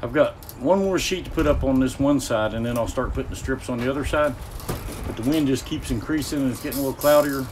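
A man's voice: mid-range voice, fast, background noise. A man in his fifties vlogging outdoors from a greenhouse frame, chatting plainly and explaining his work step by step to the camera. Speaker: homesteading vlogger. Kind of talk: casual to-camera vlog. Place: outdoors in a roofless greenhouse frame on a windy day.

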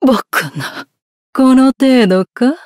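An actress playing a haughty, elegant noblewoman in an anime game, coolly disdainful, with a mellow voice and regal, archaic courtly speech. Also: high voice, lively intonation, slow pace, slight background noise. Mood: scornful. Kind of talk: anime game battle voice lines.

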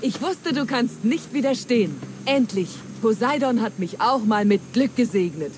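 An actress playing a wily, flattering pirate treasure hunter in a dramatic German game dub, with theatrical, coaxing delivery. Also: female voice, a little high, moderate pace, lightly acted. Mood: persuasive, pleased. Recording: clear ambient noise.

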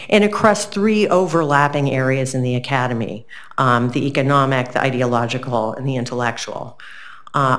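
A middle-aged woman reading a prepared lecture aloud at a university symposium, earnest and measured, a little froggy from a cold. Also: low-pitched. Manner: measured, earnest, with occasional "um" fillers. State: getting over a cold, slightly froggy. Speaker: keynote lecturer.

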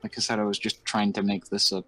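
A man improvising game roleplay in a hesitant, halting way, with frequent false starts. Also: on the low side, monotone, music in the background. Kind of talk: improvised Minecraft roleplay chat.

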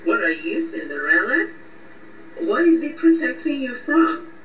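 Actress doing blunt sarcastic young woman in a radio drama, teasing her friend, slow pace, echoey muffled sound. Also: on the high side, very animated. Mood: exasperated. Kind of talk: radio drama phone conversation. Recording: muffled, echoey, distant, faint noise.

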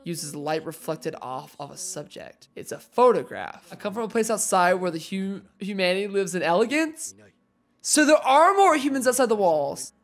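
Excitable young man reacting to anime. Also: sits high, moderate pace. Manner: excitable, exclaims and laughs, reads subtitles aloud. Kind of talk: casual reaction commentary. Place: small home room with guitars and posters. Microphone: headset.

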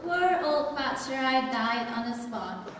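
A woman's voice: sits high, animated, slow pace, heavy background noise. A young woman narrating a stage pantomime as a scripted story, with a theatrical storyteller delivery. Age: young adult. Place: large theatre auditorium, heard over PA. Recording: echoey hall from far off, music behind. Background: loud music.

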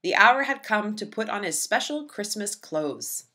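A woman reading a children's storybook aloud with expressive, dramatic emphasis. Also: medium pitch, unhurried. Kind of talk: expressive scripted read-aloud narration for young children.

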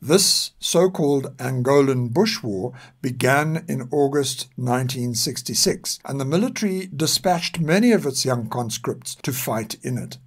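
An older man speaks with a South African accent and a husky, slightly rough voice. His pitch sits in the middle for a man. He delivers scripted narration formally and with measured care. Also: expressive. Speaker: podcast narrator.

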